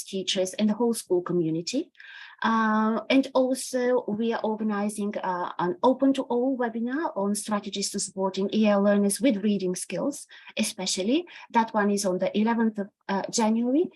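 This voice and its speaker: A woman's voice: mid-range voice, a little mellow, poor audio. A woman in her forties from Bulgaria with a Bulgarian accent, presenting an online teaching webinar with frequent hesitations and fillers. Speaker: EAL specialist presenting a webinar.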